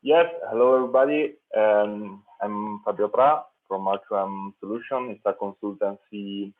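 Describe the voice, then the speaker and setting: A deep-voiced man introduces himself as a consultant over a thin, phone-like line. He hesitates and fills his pauses as he speaks. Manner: hesitant, many fillers. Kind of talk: brief self-introduction. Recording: tinny phone-like call audio.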